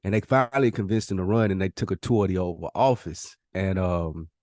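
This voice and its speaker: A man's voice: low-pitched, brisk, darkish tone, dry recording, studio quality. An American man hosting a podcast in casual, slangy chat, telling stories and acting out what people said. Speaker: podcast host.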